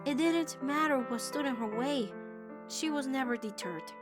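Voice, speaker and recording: A woman voicing a devoted, anxious teenage witch girl in an animated-style comic dub, earnest and halting in delivery. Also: sits high, over music, close to an everyday voice. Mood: admiring, tender.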